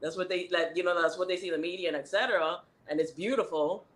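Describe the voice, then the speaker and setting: A woman's voice: lowish voice, fast, roomy sound. A woman with a Miami accent talking on a panel in a loose, rambling way, full of fillers and asides. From United States. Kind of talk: casual panel discussion. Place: indoor panel event.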